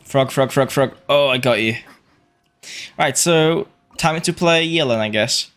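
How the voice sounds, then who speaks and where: A man livestreaming a video game, casually thinking out loud in short muttered remarks. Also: medium pitch, measured pace, clear, resonant tone, faint music behind. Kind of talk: casual gameplay commentary.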